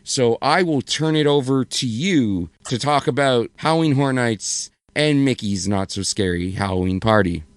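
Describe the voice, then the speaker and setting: Hoarse man chatting on a podcast. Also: medium pitch, normal speed, few pauses, dry recording. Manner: rambling, self-correcting, apologetic. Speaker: podcast co-host. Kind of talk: casual podcast chat.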